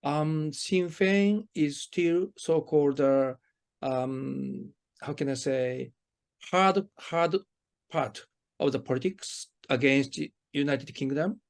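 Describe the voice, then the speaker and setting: A man's voice: on the high side, slow pace, creaky. A man with a Japanese accent, interviewing in a hesitant, roundabout way and feeling for his phrasing. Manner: hesitant, circling phrasing with hedged questions. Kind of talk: conversational phone interview.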